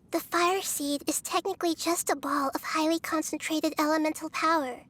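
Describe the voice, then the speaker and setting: A woman voicing a small, calm and wise young goddess who explains things gently. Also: sits high, lightly acted. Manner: calm, soft-spoken and explanatory, sometimes whispery.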